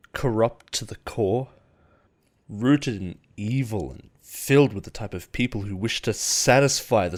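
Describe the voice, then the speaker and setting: A man acting as a low-voiced, possessive CEO boss. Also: lightly acted. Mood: intense, pleading. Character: possessive, persuasive male CEO boss, smooth and protective. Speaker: voice actor in a roleplay audio. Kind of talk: intimate dramatic roleplay monologue.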